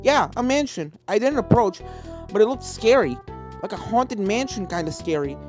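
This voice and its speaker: Storybook role: young pegasus mare, acted by a narrator, brash, high and loud, excitable and emphatic. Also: normal speed, soft background music, lightly acted. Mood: excited.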